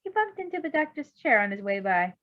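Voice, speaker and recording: A woman reading a story aloud in an expressive, amateur storytelling style. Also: high-pitched, fairly quick. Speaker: fan fiction reader. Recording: dull lo-fi recording.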